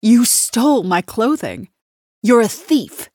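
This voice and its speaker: A woman voicing a wealthy, haughty woman who sneers and accuses loudly. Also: mid-range voice, measured pace, husky, no echo, studio quality. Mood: accusing. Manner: sneering, loud and triumphant.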